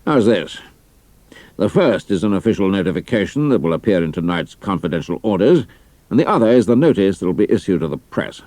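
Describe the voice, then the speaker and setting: An actor playing a senior British army intelligence officer, measured and dry, with a British accent, in an audio drama. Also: male voice, deep voice, sing-song, warm tone, no echo. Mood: measured, matter-of-fact.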